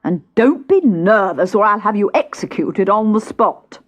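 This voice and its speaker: A woman voicing a stern, blustering male king who gives slow, pompous, loud commands in a storybook court. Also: medium pitch, expressive, pitched above the performer's own voice. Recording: dull cassette transfer with music.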